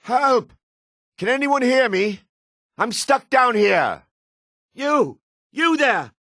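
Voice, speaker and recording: An actor playing a male dark elf townsman in a fantasy video game, with a raspy voice, gruff and theatrical. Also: very high-pitched, sing-song, slow, dry recording, clean studio sound, full character voice. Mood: panicked, pleading. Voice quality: raspy, husky.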